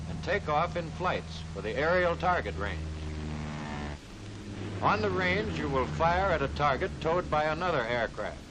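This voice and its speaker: An American man reading scripted narration for a 1940s military training film, instructing the trainee directly. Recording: hissy 1940s film soundtrack, rumbling noise.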